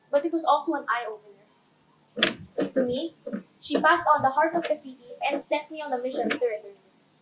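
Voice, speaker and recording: A woman acts out a brave young island heroine with a high voice. She is cheerful and curious, telling her own story in a chatty, theatrical character monologue. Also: poor audio. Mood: earnest. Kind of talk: first-person character monologue.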